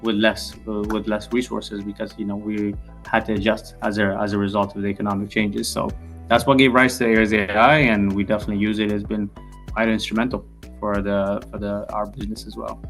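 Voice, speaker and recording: A man's voice: on the low side, little pitch movement, a little fast, fairly bright tone, faint music behind. A man from Ethiopia, a guest on a podcast, giving loose casual answers full of fillers and restarts. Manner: rambling, many fillers and restarts. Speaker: podcast guest, real estate founder.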